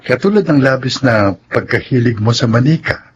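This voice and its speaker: A man acting as a reasonable, reassuring psychiatrist in a Filipino radio drama. His mid-pitched voice moves in lively swings, in a dull recording. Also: normal speed, natural voice. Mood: measured, reasoning. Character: reassuring, reasonable male psychiatrist advising a couple. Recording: dull sound with faint noise.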